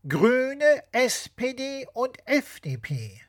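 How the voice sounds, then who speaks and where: A woman's voice: high-pitched, very animated, takes it slowly. A woman co-presenting a scripted explainer dialogue.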